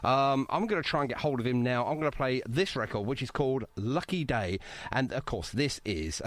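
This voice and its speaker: A man's voice: medium pitch, expressive, moderate pace, dry recording. A man hosting a radio interview in a chatty, jokey, effusive way. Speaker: radio show host. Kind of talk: casual, bantering radio interview.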